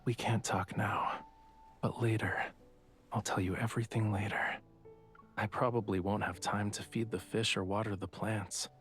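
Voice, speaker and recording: An actor playing a suave, secretive young male artist in a romance game, speaking in a soft, measured, gently teasing way. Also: fairly low pitch, level tone, faint music behind, natural voice. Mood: earnest, reassuring. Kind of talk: voiced dialogue in a romance game.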